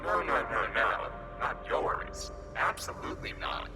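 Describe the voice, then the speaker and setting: A man's voice: medium pitch, lively intonation, measured pace, music in the background, close to an everyday voice. A man voicing a mocking, glitchy, grinning entity in the mind of the hero, with a taunting, condescending manner. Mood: scornful. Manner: taunting, condescending, sing-song. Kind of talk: audio drama acting.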